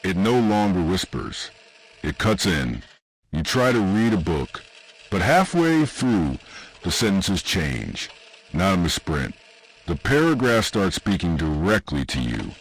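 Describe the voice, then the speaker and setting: A man reading scripted horror narration in an ominous, deliberate way, with short dramatic phrases and pauses. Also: sits low, very animated, slight background noise, rough recording. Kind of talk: scripted second-person analog horror narration.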